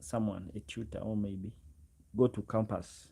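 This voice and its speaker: A man in his twenties with a Ugandan accent and a low, creaky voice talks to his livestream viewers. He chats casually, addressing people directly as he answers their comments, and often says a phrase over again. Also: steady tone, measured pace, mellow. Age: 20s. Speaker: live streamer.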